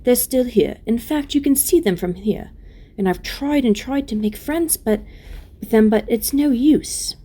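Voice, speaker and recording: A woman voicing a tender-hearted young girl queen in a storybook reading, pleading earnestly and gently. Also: a little high, a little slow, voice pushed up.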